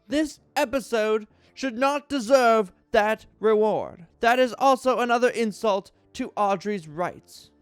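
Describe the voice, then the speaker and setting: A man's voice: high-pitched, a little slow, few pauses, clean tone, dry recording, soft background music. A man hosting a mock dramatic reading in a theatrical, exaggerated tone of outrage. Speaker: YouTube host reading a list.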